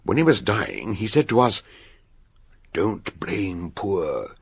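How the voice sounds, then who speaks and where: A man's voice: sits low, sing-song, creaky, slightly rough, full character voice. An older British actor playing an erudite amateur detective in a radio drama, laying out his explanation with theatrical, emphatic relish. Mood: explanatory, vivid. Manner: dramatic, emphatic, deliberate storytelling. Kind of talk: radio drama acting, expository storytelling.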